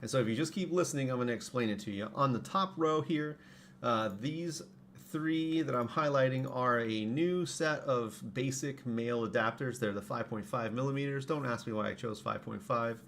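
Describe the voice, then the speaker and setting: A man with a hoarse voice, casually explaining his products on a live stream and correcting himself as he goes. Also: mid-range voice, moderate pace, fairly bright tone. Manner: rambling, self-correcting. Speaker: streamer and saber parts maker.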